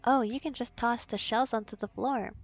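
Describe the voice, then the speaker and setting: A woman's voice: fairly high pitch, normal speed. Casting: woman as laid-back young game guide, friendly, unbothered, casual delivery over music. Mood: easygoing.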